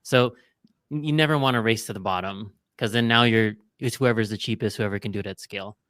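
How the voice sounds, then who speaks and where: A man hosting an interview podcast, explaining points to listeners in a relaxed, conversational way. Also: lowish voice, a little fast, no echo, clean studio sound. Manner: explanatory, drawing business lessons. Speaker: podcast host. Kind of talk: casual interview chat.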